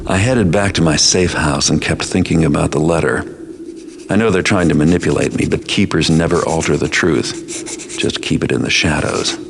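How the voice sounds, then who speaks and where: A male actor playing a cynical, world-weary master thief in a video game, with a dry, sardonic, understated delivery and a gravelly voice. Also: normal speed, slight background noise. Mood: brooding, scheming. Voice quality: very deep, gravelly. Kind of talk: noir-like game narration and dialogue. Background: music.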